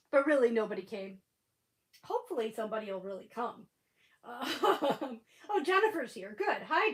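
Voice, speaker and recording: A woman hosting a casual crafting livestream from home, chatting and rambling with a stuffy, sniffly head cold. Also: a little high. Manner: chatty, rambling, laughs often.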